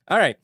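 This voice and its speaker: A man's voice: sits high, gravelly, pushed and bright. A man hosting a podcast, asking curious questions in a chatty way and laughing readily. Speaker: podcast host. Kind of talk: casual interview chat.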